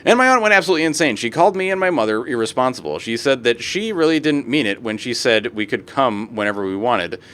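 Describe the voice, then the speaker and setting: Man, young adult, live streamer, profane rants, reads posts aloud, very lively pitch. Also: quick pace, hardly any pauses.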